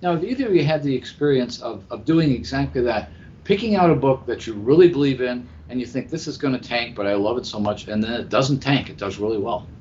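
A man's voice: expressive, fast talker, a little mellow. A man hosting a podcast in a casual discussion style, posing questions and offering his own views. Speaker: podcast host. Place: live convention recording over internet call. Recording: muffled lo-fi internet call with rumbling noise.

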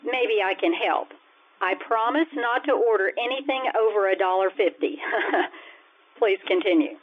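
An actress playing a sardonic high school English teacher with dry, deadpan asides in an old-time radio comedy. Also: female voice, measured pace. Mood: coy, sardonic. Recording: tinny, phone-like old radio-style sound.

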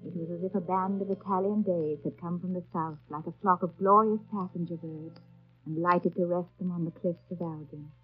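A woman's voice: fairly low pitch, fairly even intonation, moderate pace, faint music behind, close to an everyday voice. An actress in a 1930s radio drama playing an earnest, passionate young governess in love with her master, speaking in a formal, literary way. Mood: wistful, tender. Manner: literary, formal, heartfelt delivery. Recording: dull lo-fi 1930s radio recording.

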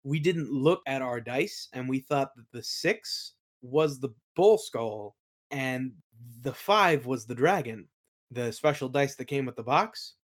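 Male game master, mid-pitched voice, casual storytelling, rambling, colloquial, interview guest. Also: expressive, slow. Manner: rambling, colloquial, acts out quoted remarks. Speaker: guest, game master and audio play creator. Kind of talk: casual chat, anecdotes.

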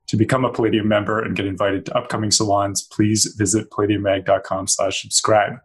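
A man hosting an interview over a video call, making measured, formal introductions. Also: on the low side, little pitch movement, slightly rough, rough recording. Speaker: host, editor-in-chief.

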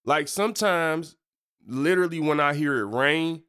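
A man's voice: fairly high pitch, normal speed, a bit bright, smooth. A young man, stuffed up from a cold, hosting a casual faith podcast in loose, slangy talk full of fillers and asides. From Michigan. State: congested. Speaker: podcast host and preacher.

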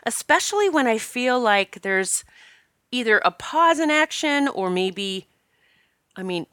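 Stuffy-nosed lady hosting a podcast, bright clear voice, chatty and upbeat, dry close sound. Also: on the high side, very animated, measured pace, no echo. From Northeast Pennsylvania. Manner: chatty, encouraging, with fillers. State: congested from allergies. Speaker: podcast host. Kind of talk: casual podcast talk.

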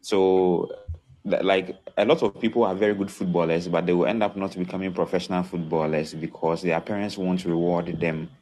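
Deep-voiced man calmly explaining points. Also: a little fast, poor audio. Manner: methodical, numbers his points and checks understanding. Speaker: caller giving input. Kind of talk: casual explanatory talk. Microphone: remote call-in line.

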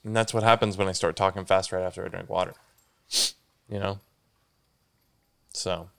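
A man's voice: low voice, fairly even intonation, moderate pace, creaky, clear, resonant tone. A young man hosting a casual solo podcast, stuffy and congested, rambling and correcting himself as he goes. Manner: rambling, self-correcting, says "dude" a lot. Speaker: podcast host.

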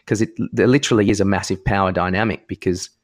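Australian male podcast co-host, slightly deep voice, blunt and informal sports chat. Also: fairly even intonation, quick pace. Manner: opinionated, informal, sweary. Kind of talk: casual chat about sport.

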